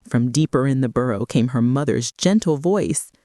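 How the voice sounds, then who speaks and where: Low, mellow woman reading a gentle children's story. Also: animated, normal speed, no echo, clean studio sound. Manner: gentle, soothing. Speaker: bedtime story narrator. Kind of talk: calming children's storybook narration.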